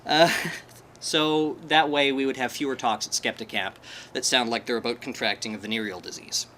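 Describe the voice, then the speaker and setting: A man giving a live talk to an audience, animated and wry, with a self-deprecating humor. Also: medium pitch, moderate pace, slight background noise. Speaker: presenter at a skeptics' meetup. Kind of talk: conference talk. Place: presentation room, live audience.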